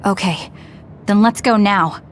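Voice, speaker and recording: A woman's voice: medium pitch, unhurried, faint music behind, lightly acted. A woman playing a serious, determined young soldier in a video game, direct and earnest. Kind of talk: dramatic video game acting.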